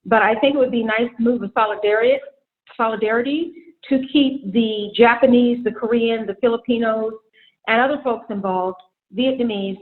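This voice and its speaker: A woman's voice: fairly high pitch, normal speed. Earnest woman over a tinny line. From Seattle. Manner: earnest, outspoken. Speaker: panel guest. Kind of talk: public affairs panel talk. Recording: tinny phone-like remote line.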